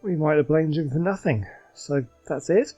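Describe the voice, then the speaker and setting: A man's voice: a little high, normal speed, dark tone. A man giving casual, exclamatory gameplay commentary as a chatty, self-amused streamer. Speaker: gaming streamer. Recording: game music behind.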